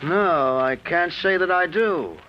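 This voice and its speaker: Muffled vintage radio drama: dignified pompous judge, fairly high male voice, formal speech, faint noise. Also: slow. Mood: startled. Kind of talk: 1940s radio drama acting. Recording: muffled noisy old radio recording.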